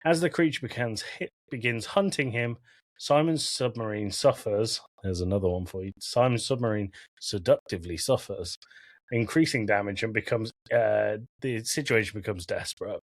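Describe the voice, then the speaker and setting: A man's voice: lowish voice, moderate pace, no echo. Jokey man hosting a podcast, dry close sound. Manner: jokey asides, profane. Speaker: podcast host. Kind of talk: informal film and game discussion, recapping from notes.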